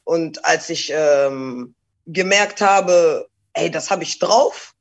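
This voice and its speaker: A relaxed, slangy woman rapper chatting loosely in an interview, dropping English phrases here and there. Her talk is easygoing and conversational. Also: deep voice, slow, lo-fi sound. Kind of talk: casual interview chat.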